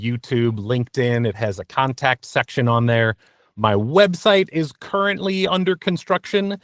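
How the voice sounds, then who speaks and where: A man speaks in a casual interview style with a middling pitch. He is earnest and tends to break off and restart his thoughts. The recording is dry, with very little room sound. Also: no echo. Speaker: interview guest, voice actor.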